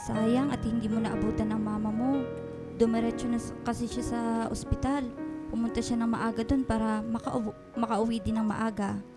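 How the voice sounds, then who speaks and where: An actress playing a gentle, coaxing elderly housekeeper with a Filipino accent in a radio drama. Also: female voice, on the high side, fairly even intonation, normal speed, over music, close to an everyday voice. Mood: gentle, caring. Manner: coaxing, motherly, hesitant. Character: gentle elderly housekeeper, motherly, pleading, flustered peacemaker.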